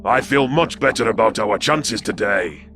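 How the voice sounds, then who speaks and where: A man's voice: lowish voice, animated, moderate pace, pushed and bright, faint music behind, voice pushed up. A man voicing an irritated, disdainful alien officer with dry sarcasm in a science fiction audio story. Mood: disdainful irritation.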